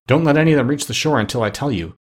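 A man voicing a kindly but firm middle-aged male doctor who speaks gently and sternly. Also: mid-range voice, very fast, lightly acted.

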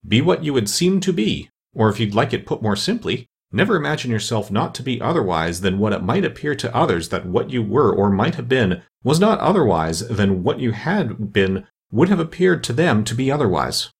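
A man's voice: mid-range voice, very animated, normal speed, gravelly, hardly any pauses, breathy edge, exaggerated voice. A man voicing a pompous, self-satisfied older Duchess in a storybook, fond of moralizing and eager to agree. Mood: pompous, pleased. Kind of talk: storybook character reading.

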